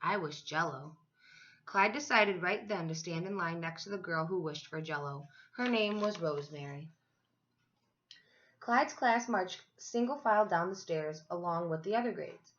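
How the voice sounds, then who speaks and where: A woman's voice: on the low side, normal speed, lo-fi sound. A woman reading a children's storybook aloud expressively, like a teacher to her class. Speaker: school teacher reading to third graders.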